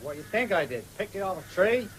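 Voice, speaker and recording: A man's voice: sits high, very animated, fast talker, fairly bright tone, poor audio. An actor playing a young horseman, blunt and mocking, in a radio drama. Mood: sneering, defiant. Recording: hissy 1970s radio drama recording.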